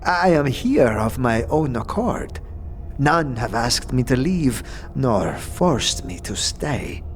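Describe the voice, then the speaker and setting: Man as eerie devout cultist, slow formal speech, swooping intonation, music behind, fantasy audio drama. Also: mid-range voice, very animated, a little hiss or hum. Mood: measured, eerie. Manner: measured, formal, pious. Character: pale male death-cult missionary, calm, devout, quietly eerie.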